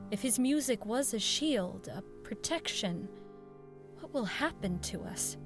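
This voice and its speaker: An actress playing a haunted, grieving woman who recounts an eerie horror in halting, intense radio drama narration. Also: a little high. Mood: brooding, uneasy. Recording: music under the voice. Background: music.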